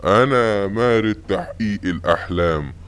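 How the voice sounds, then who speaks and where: A man's voice: fairly bright tone, clean tone, natural voice. A man voicing a grand, teasing male genie in a cartoon, speaking with an Egyptian Arabic accent. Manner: grand, slow and teasing with booming laughs. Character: booming magic male genie from a washing machine.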